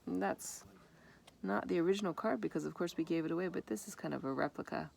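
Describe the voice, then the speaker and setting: A woman reading a children's story aloud in an expressive manner, with informal asides about the pictures. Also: fairly low pitch, fast talker, creaky. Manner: expressive, acts out dialogue. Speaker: storybook reader. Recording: noticeable background noise.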